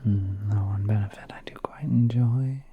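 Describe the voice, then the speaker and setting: A man playing a gentle, courteous spider prince in a whispered ASMR roleplay, speaking softly and politely in an unhurried, musing way. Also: fairly low pitch, fairly even intonation, phone-quality sound, a little hiss or hum, natural voice. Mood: soothing, hospitable.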